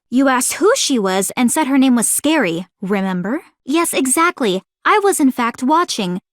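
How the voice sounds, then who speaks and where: High-voiced, confident young female strategist. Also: dry recording, clean studio sound. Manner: formal, commanding, precise. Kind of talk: scripted video game character voice acting.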